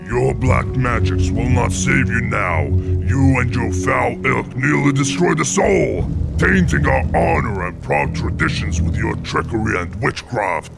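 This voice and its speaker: Gravelly, menacing orc warlord. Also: male voice, medium pitch, animated. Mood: accusing, angry. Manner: slow, threatening, authoritative. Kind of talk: dramatic fantasy game acting. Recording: loud music under the voice.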